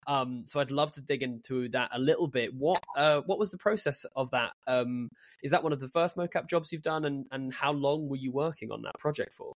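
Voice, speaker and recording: A man's voice: mid-range voice, fairly quick, hardly any pauses. A man hosting a podcast interview, asking long, rambling questions full of fillers. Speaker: podcast host. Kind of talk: casual interview.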